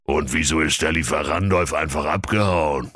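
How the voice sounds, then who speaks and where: A man voicing a huge, greedy male dragon who talks in a slow, unbothered drawl, in a children's storybook reading. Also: a bass voice, a bit bright, no room sound, clean studio sound, voice pushed down. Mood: smug.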